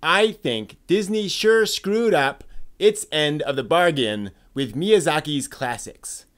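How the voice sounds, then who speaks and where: A disgruntled, haughty anime fan played by a man declaims a complaint in a high, clear voice. The tone is disdainful, in the manner of a dramatic reading of a forum post. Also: expressive, takes it slowly, a bit bright. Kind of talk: dramatic reading of online posts.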